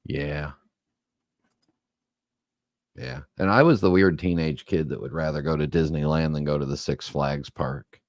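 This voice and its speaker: A man's voice: extremely low pitch, a little slow, pauses often, dry recording, clean studio sound. A man from Southern California co-hosting a casual chat, telling rambling stories and teasing his co-host.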